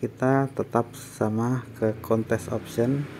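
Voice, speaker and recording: A man gives a casual step-by-step software tutorial, explaining in a halting way. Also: medium pitch, steady tone. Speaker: tutorial presenter. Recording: faint background noise.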